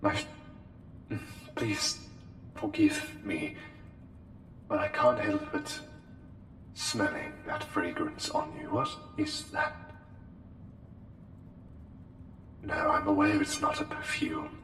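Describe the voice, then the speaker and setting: Over music and in long, echoing reverb comes a courtly, menacing plague doctor. The voice is low, with formal, haughty, deliberate phrasing broken by pauses, as intimate ASMR roleplay. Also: male voice, huge pitch swings, a bit bright, heavily acted. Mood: taken aback.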